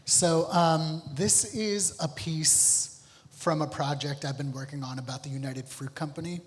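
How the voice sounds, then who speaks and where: A man giving an informal artist talk with slides, speaking in a halting, reflective way. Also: on the high side, steady tone. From Honduras and United States. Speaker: artist on a panel. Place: theatre, live panel event. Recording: echoey hall with long reverb.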